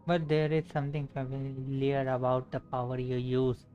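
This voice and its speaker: A man's voice: mid-range voice, monotone, normal speed, lo-fi sound. A man with an Indian accent, a native Hindi speaker, commenting live on a game and reading dialogue aloud. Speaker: game livestreamer. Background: game music.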